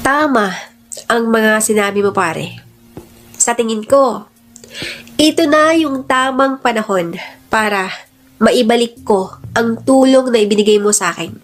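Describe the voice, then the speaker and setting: A woman's voice: a little high, unhurried, pauses often, soft background music, close to an everyday voice. Woman voicing an earnest, insistent Filipino male friend. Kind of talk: radio-style drama dialogue.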